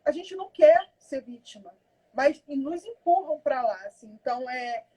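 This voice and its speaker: A woman's voice: high-pitched, animated, normal speed, warm tone, poor audio. A woman, a community activist speaking with passion and emphasis. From Brazil. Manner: impassioned, emphatic. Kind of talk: conversational interview.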